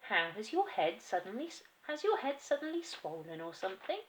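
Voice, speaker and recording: A woman's voice: medium pitch, sing-song, fairly quick, slight background noise, pitched above the performer's own voice, lightly acted. Woman doing a sly, sweet-voiced little girl. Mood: sly innocence. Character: clever, innocent-sounding little girl.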